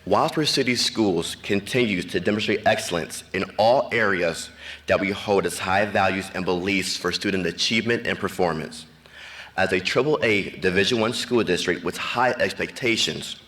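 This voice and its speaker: A man, a school official, reading formal ceremony announcements from a podium in a measured, scripted way. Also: low-pitched, a bit bright. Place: large ceremony venue.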